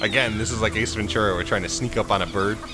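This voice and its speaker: A man's voice: fairly low pitch, steady tone, fast talker. A man giving casual, wry let's play commentary with mock drama as he plays. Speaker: gaming show commentator and player. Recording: game ambience noise behind voice.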